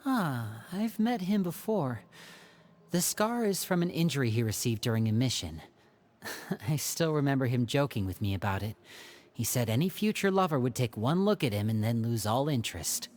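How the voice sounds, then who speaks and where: A man's voice: mid-range voice, very animated, moderate pace, a little hiss or hum, natural voice. An actor voicing a thoughtful, earnest young male magician in a video game, telling a story in a reflective way. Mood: wistful. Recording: game audio over soft music.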